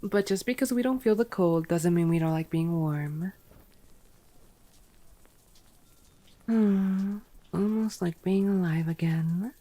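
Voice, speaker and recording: A woman's voice: on the low side, unhurried, dark tone. Sardonic, teasing vampire woman, acted out by a woman. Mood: relieved, content. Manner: sarcastic, teasing, swearing. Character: teasing, sardonic female vampire, playful and exasperated. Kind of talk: ASMR roleplay audio drama.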